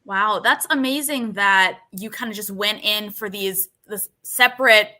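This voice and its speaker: A woman's voice: medium pitch, a little slow. A young woman hosting an interview, friendly and chatty, with many "like"s and encouraging replies. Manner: friendly, uses "like" often, warm affirmations. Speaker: interview host. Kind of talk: casual interview chat.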